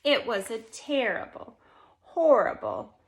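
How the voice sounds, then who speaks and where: A woman in her forties reading a children's picture book aloud expressively, with deliberate, emphatic phrasing. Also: on the high side, expressive. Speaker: storybook reader. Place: living room at home, indoors.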